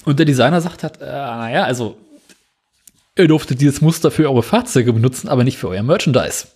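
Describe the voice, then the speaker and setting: A man co-hosting a casual podcast, explaining news items in a relaxed, dry way. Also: medium pitch, fairly quick, breathy edge. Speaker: podcast co-host.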